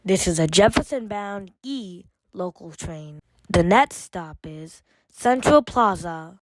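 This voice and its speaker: A woman reading scripted train announcements as an automated announcer, in measured, formulaic phrases with separate segments. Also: lowish voice, expressive, no room sound, low-quality recording.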